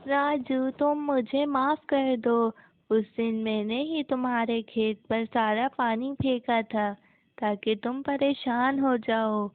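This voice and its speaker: A woman's voice: a little high, fairly even intonation, slow pace. A woman voicing a spiteful, resentful aunt in a cartoon village moral tale, with a scolding manner. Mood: apologetic. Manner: scolding, gloating. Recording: muffled, narrow phone-like sound.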